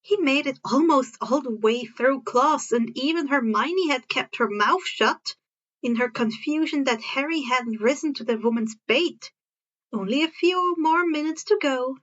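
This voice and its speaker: Amateur female story narrator, high voice, occasional stumbles and rereads, slightly muffled home recording. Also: measured pace, a bit bright. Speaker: fan fiction narrator.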